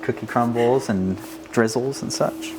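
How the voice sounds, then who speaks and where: A man's voice: medium pitch, unhurried. Man explaining a menu over shop noise. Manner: steady explaining with many fillers. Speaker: bakery staff explaining the menu. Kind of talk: casual explanation.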